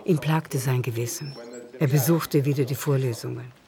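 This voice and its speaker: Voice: medium pitch, steady tone, normal speed, vocal fry, a crowd in the background. A narrator reading scripted literary prose in a radio drama.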